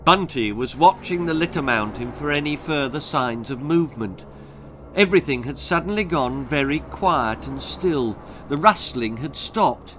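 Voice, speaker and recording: A man's voice: on the high side, animated, normal speed, background noise. An older man reading a grisly comic children's story in a theatrical, suspenseful manner. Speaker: author reading his own story. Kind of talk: dramatic children's horror-comedy storytelling. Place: book-lined room indoors. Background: music.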